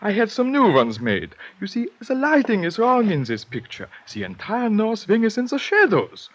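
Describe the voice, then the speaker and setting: A man playing a fussy, eager Alpine innkeeper with a German accent, husky and courteous, in old radio drama. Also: high voice, wildly swooping pitch, moderate pace, heavily acted. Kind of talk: 1950s radio drama acting. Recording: muffled old radio recording.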